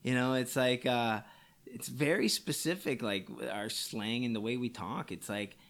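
A man with a Chicago accent, high and chatting casually as a podcast guest, swearing and filling with "you know.". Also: a little slow, a bit bright. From Chicago area, USA. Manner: laid-back, swears a lot, many "you know" fillers. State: stoned.

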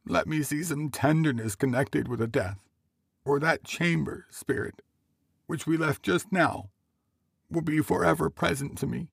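A man voicing a shaken old man in a ghost story, imploring slowly. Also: a little high, gravelly, breathy edge, no room sound, clean studio sound, pitched above the performer's own voice, exaggerated voice. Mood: pleading, haunted. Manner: slow, imploring.

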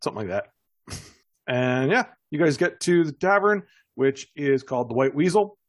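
A game master with a husky voice, improvising narration for a tabletop game in a casual way. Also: mid-range voice, animated, moderate pace.

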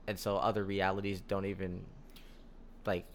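Chill young american guy, lowish voice, rambles with lots of ums, bit of background hiss. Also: normal speed. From United States. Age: young adult. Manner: relaxed, rambling storyteller with frequent fillers. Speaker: podcast guest. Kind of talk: casual conversation.

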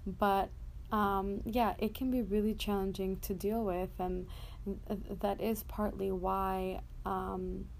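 A young adult woman hosting a personal motivational podcast, speaking casually and candidly in a halting way with an occasional stutter. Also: mid-range voice, darkish tone, poor audio. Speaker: podcast host. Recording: noticeable background noise.

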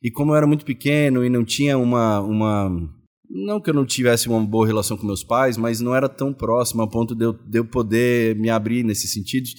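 A man in his forties with a Brazilian accent and an average-pitched voice. He talks casually as a guest, in informal, slangy language, as if among friends. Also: fairly even intonation, fast talker, darkish tone. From Salvador, Bahia, Brazil; moved to São Paulo state as a child. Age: 40s. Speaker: interview guest. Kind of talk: casual chat.

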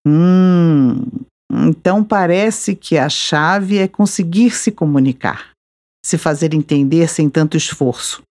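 A Brazilian woman hosting a podcast, reading a measured, reflective scripted narration. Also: sits low, a little mellow. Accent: Brazilian Portuguese. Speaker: podcast host.

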